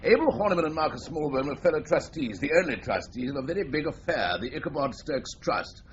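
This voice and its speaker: An actor playing a senior British policeman in a radio crime drama, briefing a subordinate with brisk, dry authority. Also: on the high side, lively intonation, close to an everyday voice. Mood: brisk, exasperated. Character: brisk senior policeman, male superior briefing his inspector. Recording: muffled radio drama recording, faint noise.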